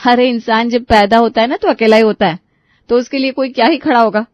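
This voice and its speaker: A woman's voice: on the high side, a little fast, no room sound, lightly acted. Woman doing outspoken young bhabhi type, blunt, skeptical, dry slightly muffled audio. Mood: exasperated.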